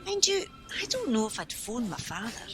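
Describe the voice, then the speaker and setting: Nosy helpline woman with swooping pitch. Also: huge pitch swings, moderate pace, soft background music. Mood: curious, gossipy. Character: brisk, nosy female helpline volunteer. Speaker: actor. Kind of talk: radio play acting.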